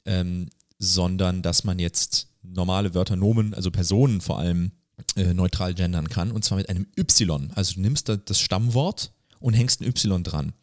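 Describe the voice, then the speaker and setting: Low-voiced man chatting on a podcast. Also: normal speed, no room sound, studio quality. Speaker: podcast host. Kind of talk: casual conversational podcast chat.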